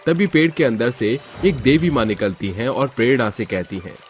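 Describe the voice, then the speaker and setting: A man's voice: medium pitch, lively intonation, moderate pace, soft background music. A man narrating a scripted moral story as storyteller.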